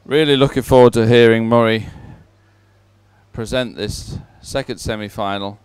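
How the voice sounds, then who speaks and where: A man's voice: medium pitch, normal speed, clean tone, no room sound. A man hosting live sports commentary in a chatty, jovial way, as if talking with a colleague. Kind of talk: live sports commentary, relaxed co-host chat.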